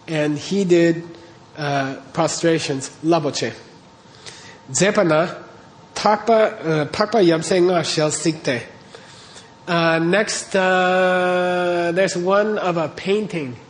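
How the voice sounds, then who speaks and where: Man, teacher, higher-pitched voice, patient step-by-step explanations, frequent check-ins, faint background hiss. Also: takes it slowly. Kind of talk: classroom lecture on Tibetan texts.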